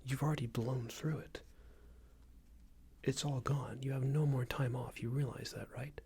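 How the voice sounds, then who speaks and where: A man playing a smug, unreasonable boss in an ASMR roleplay, scolding an employee in a soft breathy whisper with a hesitant, condescending manner. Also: steady tone, moderate pace, slight background noise, natural voice. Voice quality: breathy soft whisper with low creaky murmur. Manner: hesitant, condescending, meandering reprimand.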